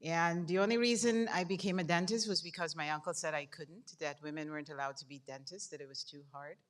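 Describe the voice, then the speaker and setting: An older woman speaks in a low register with a Guyanese accent. She gives an informal talk to an audience as a guest speaker and likes to involve her listeners directly. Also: fairly even intonation, a little fast. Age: 50s or older. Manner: interactive, puts questions to the audience. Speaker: guest speaker, dentistry professor.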